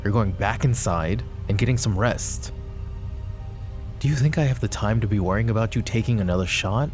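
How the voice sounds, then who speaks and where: Guy doing a possessive mafia boss, super deep and low, close intimate asmr talk. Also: darkish tone, faint music behind, lightly acted. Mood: heated. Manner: low, measured and intimate, speaking to an unheard listener. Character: possessive young adult male mafia boss, guarded. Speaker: voice actor. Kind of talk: boyfriend ASMR roleplay.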